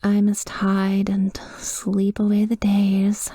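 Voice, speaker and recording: A woman playing a devoted, wistful immortal vampire lover in a hushed, intimate, slowly whispered ASMR roleplay monologue. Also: mid-range voice, completely flat delivery, breathy edge, no room sound, studio quality. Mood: tender, wistful.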